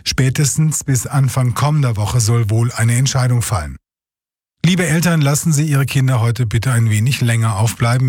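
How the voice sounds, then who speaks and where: A male news anchor reads scripted bulletins in a husky, slightly rough voice. The delivery is factual and measured, recorded close and very dry in a studio. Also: medium pitch, darkish tone, no echo. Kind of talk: scripted radio news reading. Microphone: close studio microphone.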